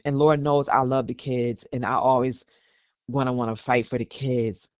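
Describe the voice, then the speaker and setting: A man's voice: medium pitch, fairly even intonation, moderate pace, smooth, no echo, clean studio sound. A man hosting a podcast, reading documents aloud and giving opinionated, conversational commentary. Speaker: podcast host.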